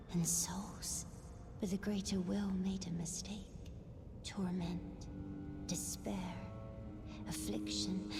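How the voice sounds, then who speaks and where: Slow, breathy, fervent young maiden in reverb. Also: female voice, medium pitch. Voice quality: breathy, whispery. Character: devout young blind maiden of a chaos flame, fervent and solemn. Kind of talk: dark fantasy game voice acting. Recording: long cavernous reverb under music.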